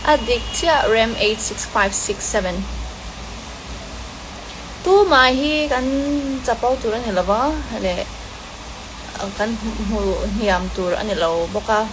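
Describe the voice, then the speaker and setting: A woman with a fairly high voice answers fan questions in a loose, unhurried chat. She switches between languages and pauses often to think. A steady hiss runs under her voice the whole time. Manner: relaxed, hesitant with fillers, mixing languages.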